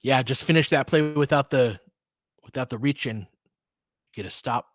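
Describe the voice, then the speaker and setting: A man's voice: medium pitch, moderate pace, dry recording, clean studio sound. A man doing casual, informal live basketball play-by-play in clipped phrases, chatting with listeners as he goes. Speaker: podcast host doing live play-by-play commentary. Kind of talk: casual live basketball commentary with chat replies.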